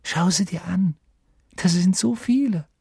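Man voicing an eager, hushed young schoolboy. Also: medium pitch, expressive, moderate pace, vocal fry, breathy edge, dry recording, studio quality, pitched above the performer's own voice, exaggerated voice. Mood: hushed, urgent. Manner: hushed, urgent, often whispering. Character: eager, stubborn young schoolboy, intense and secretive.

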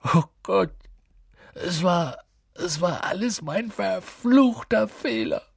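A man voicing a huge, soft-hearted, guilt-ridden bearded man in an audiobook, with rough colloquial, halting speech. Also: deep voice, dry recording, studio quality, exaggerated voice. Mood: sobbing, remorseful. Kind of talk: audiobook character voice.

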